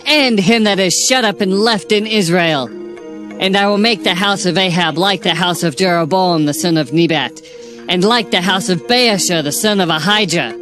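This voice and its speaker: A man's voice: high-pitched, pitched above the performer's own voice. A man voicing a solemn young prophet. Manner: solemn, proclaiming. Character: young male prophet delivering a solemn divine oracle. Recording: loud music underneath.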